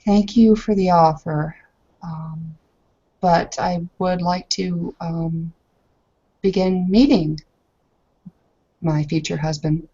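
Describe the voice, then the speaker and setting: A woman's voice: fairly low pitch, steady tone, slow, long pauses, warm tone, husky, lo-fi sound. A woman playing a proud, tired young werewolf bride-to-be in a tabletop game, speaking formally and carefully with hesitant phrasing. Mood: careful, guarded.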